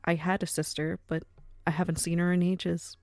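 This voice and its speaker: A woman's voice: on the low side, flat delivery, normal speed, a little mellow, dry recording, close to an everyday voice. Stately, commanding crime matriarch played by a woman, very dry. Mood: wistful, sincere. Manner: stately, formal, authoritative. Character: commanding, courteous matriarch of the criminal underworld. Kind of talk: audio drama acting.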